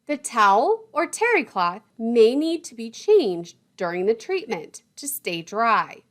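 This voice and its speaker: A woman lecturer reading scripted instructional material in a methodical, didactic way, setting out terms and lists one by one. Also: on the high side.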